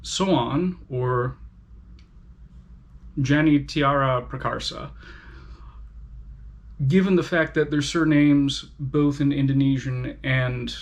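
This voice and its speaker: Slow, careful man reviewing a book. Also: mid-range voice. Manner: slow, careful, with frequent pauses and fillers. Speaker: book reviewer, channel host. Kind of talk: casual spoken book review.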